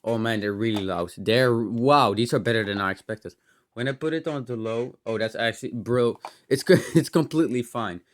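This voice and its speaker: A man's voice: mid-range voice, moderate pace. A man giving a casual, unscripted hands-on gadget demo, rambling and thinking aloud with some mild swearing. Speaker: host of a tech teardown channel.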